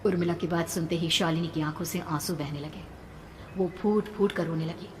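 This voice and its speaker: A woman's voice: on the low side, steady tone, moderate pace. A woman telling a horror story as dramatic scripted narration. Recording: long reverb, background noise.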